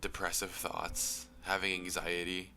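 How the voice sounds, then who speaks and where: A young man with a very low voice speaks earnestly and reflectively, his phrases often trailing into soft, breathy whispers. Clear background music plays beneath him throughout. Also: monotone, measured pace. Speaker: YouTube commentator. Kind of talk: earnest personal commentary. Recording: music playing under the voice.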